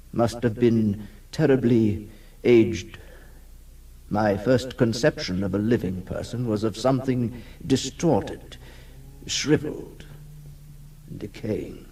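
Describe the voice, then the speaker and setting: Slow, creaky-voiced, haunted castle recluse. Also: male voice, on the low side, warm tone. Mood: haunted, morbid. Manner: slow, grave, theatrical, with dramatic pauses. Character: brooding, haunted male recluse raised in a decaying castle. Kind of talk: 1960s radio horror monologue, dramatic first-person narration. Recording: distant-sounding 1960s radio recording.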